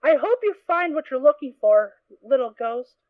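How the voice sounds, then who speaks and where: A woman playing a tough, gruff unicorn mare guard in a fan audio drama, with a brusque manner. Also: high voice, expressive, normal speed, dry recording, phone-quality sound. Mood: tender. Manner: brusque, snappish.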